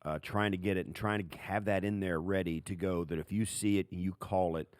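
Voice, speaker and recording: A man with an American accent giving casual football analysis as a podcast co-host, in a loose, halting way that piles up examples. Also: deep voice, steady tone, smooth, no echo, clean studio sound.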